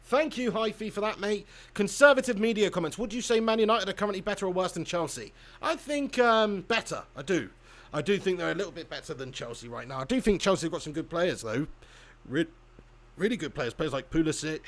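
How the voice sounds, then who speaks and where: A man's voice: high voice, lively intonation, a little fast. A young man hosting a live football watch-along, chatting casually with viewers and laughing often. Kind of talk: casual live commentary reading chat.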